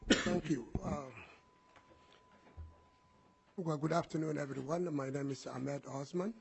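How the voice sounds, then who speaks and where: A middle-aged man with a Somali accent giving public testimony in deliberate, hesitant phrases. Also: a little high, fairly even intonation, creaky, slight background noise. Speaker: program manager giving testimony. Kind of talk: public testimony, spoken semi-freely.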